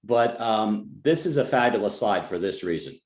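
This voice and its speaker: A man's voice: fairly low pitch, animated, normal speed. New Jersey man presenting, echoey room. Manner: conversational, joking asides, frequent restarts. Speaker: financial advisor. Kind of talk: client webinar presentation.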